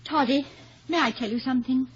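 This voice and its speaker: An actress playing a college president's poised, teasing wife in a radio comedy, dry and playful. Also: female voice, high-pitched, a little fast, close to an everyday voice. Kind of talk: 1950s radio comedy acting. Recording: muffled, noisy old radio broadcast.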